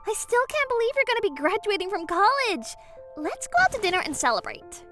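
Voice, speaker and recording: A woman voicing a fragile, emotional young woman in a manga dub, with breathy delivery. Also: extremely high pitch, big pitch swings, brisk, full character voice. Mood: joyful. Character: young woman, orphaned grieving sister seeking revenge, fragile. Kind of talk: anime-style dub acting. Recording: loud music bed underneath. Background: music.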